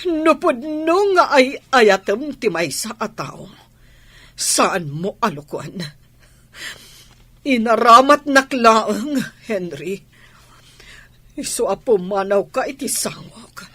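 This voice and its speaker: A woman's voice: a little high, lively intonation, slow pace, pauses often, bright, forceful tone, no echo, heavily acted. A woman acting a betrayed, furious partner in an Ilocano radio drama, with a scornful, emphatic delivery.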